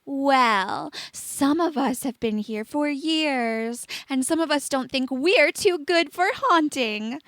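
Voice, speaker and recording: A woman plays a teenage girl ghost from a storybook, high-voiced and full of self-pity. She is whiny, sulky and theatrical, turning every line into melodrama. The sound is close and dry. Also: slow, hardly any pauses, a bit bright, no echo, heavily acted. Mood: indignant.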